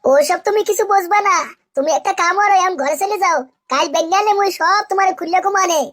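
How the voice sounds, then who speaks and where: A woman's voice: extremely high pitch, quick pace, a bit bright. A woman voicing a sly, flirtatious married village woman in a comic cartoon, with a coy manner and a Barishal Bengali accent. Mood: flustered, evasive. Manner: coy, suggestive.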